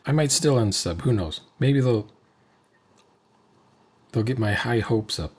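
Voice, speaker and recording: An older man in his sixties with a husky voice gives casual reaction commentary. He talks slowly, and his pitch swings widely as he mutters asides to himself. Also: expressive. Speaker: reaction video host. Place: cluttered home room, indoors.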